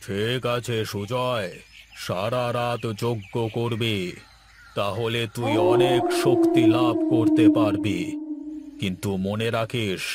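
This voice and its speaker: A man's voice: fairly low pitch, fairly even intonation, slow, over loud music. A man voicing a solemn, commanding ascetic guru in a Bengali cartoon, speaking like someone reciting incantations, with faint noise in the recording. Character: solemn ascetic holy man, male guru chanting mantras.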